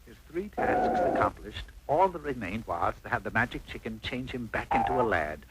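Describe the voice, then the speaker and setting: A man's voice: medium pitch, wildly swooping pitch, normal speed, noisy background. A man playing an arch, theatrical storybook narrator, reading a comic fairy tale on an old cartoon soundtrack. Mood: mock-dramatic. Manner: arch, dramatic.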